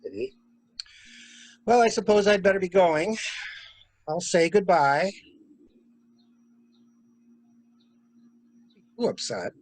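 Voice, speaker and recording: A man's voice: high voice, very animated, unhurried, a little mellow, no room sound, exaggerated voice, low-quality recording. A man playing a cynical, plain-spoken adviser in an amateur mystery play, blunt and wry. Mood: wry, casual. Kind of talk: amateur murder mystery radio play acting.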